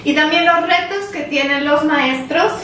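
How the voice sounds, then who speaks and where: A woman's voice: sits high, unhurried, pushed and bright, slight background noise. A woman co-hosting a radio talk show in a playful, chatty and enthusiastic way. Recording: roomy studio heard from a distance.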